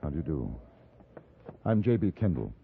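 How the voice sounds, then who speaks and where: An actor playing a calm, polite English gentleman on the frontier in a 1950s radio drama, with an English accent and a measured, understated delivery. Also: very deep voice, vocal fry, slight background noise, heavily acted. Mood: steady, reassuring. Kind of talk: 1950s radio drama acting and first-person narration. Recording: dull vintage 1950s radio recording, music behind.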